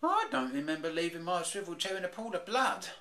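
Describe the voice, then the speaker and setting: A man doing an excitable boy bear. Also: high-pitched, a little fast, pitched above the performer's own voice. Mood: eager. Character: young boy bear, eager and cheeky.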